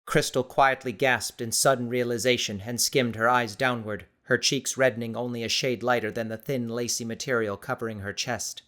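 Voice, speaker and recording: A man reading dramatic scripted audiobook narration in a measured, suspenseful manner. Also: medium pitch, steady tone, fairly bright tone.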